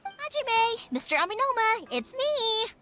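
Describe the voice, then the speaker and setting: A woman's voice: very high-pitched, sing-song, measured pace, pushed and bright, a little hiss or hum, exaggerated voice. A woman playing a cheerful, chatty young fireworks shopkeeper in a video game, speaking in a bubbly, informal, exclamatory way. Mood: upbeat, hurried. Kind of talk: English video game voice acting. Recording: music behind.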